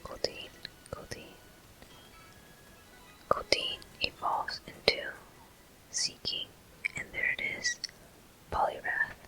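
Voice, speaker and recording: Slow, breathy ASMR whisper, gaming commentary. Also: extremely high pitch, animated, soft background music, low-quality recording. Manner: soft, unhurried, gently narrating each step. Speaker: gaming video host.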